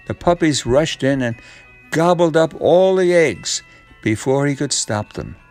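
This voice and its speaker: A man's voice: mid-range voice, creaky. A man narrating a children's story expressively as a storyteller.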